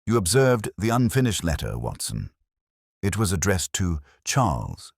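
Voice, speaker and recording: Male narrator as coolly commanding male detective, very low voice, crisp and deliberate, expressive intonation, mystery audiobook. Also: no room sound, studio quality, exaggerated voice. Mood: deliberate, musing.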